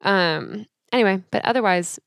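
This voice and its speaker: A young woman co-hosting a podcast, telling animated stories in casual chat and acting out the people she quotes. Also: mid-range voice, a little fast, creaky, dry recording, clean studio sound. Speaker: podcast co-host.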